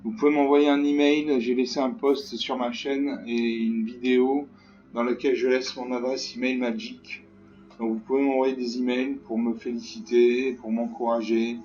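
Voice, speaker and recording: A hoarse man hosting a gaming channel, talking through a card game in a rambling, repetitive way with many fillers. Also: mid-range voice, a little mellow. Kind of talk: casual game commentary. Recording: distant mic, echoey room, dull lo-fi sound, faint music.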